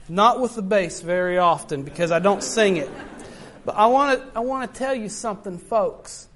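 A man preaching a sermon in a notably high, light voice. He talks earnestly and circles back on his points, often turning to the congregation to address them directly. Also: normal speed, fairly bright tone, slight background noise. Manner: earnest, repetitive, often addresses listeners as folks.

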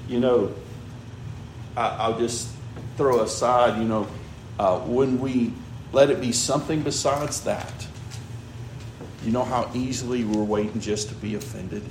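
A man's voice: medium pitch, measured pace, pauses often, darkish tone. A man preaching a sermon in a conversational way, often checking in with "all right?" and "you know". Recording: echoey room with background noise.

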